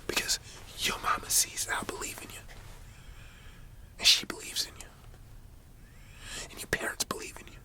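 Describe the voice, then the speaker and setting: A man playing a stern but caring high school principal in a whispered ASMR roleplay, scolding a student in informal, folksy language with a breathy voice. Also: normal speed, long pauses, bright, forceful tone. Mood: folksy, encouraging. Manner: informal, admonishing, folksy phrasing.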